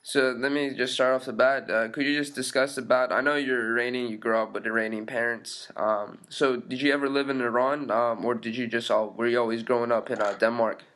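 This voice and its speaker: A man's voice: mid-range voice, fairly even intonation, a little fast, few pauses, fairly bright tone. Rambling informal male interviewer. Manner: rambling, informal questions with fillers. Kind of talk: casual interview questions.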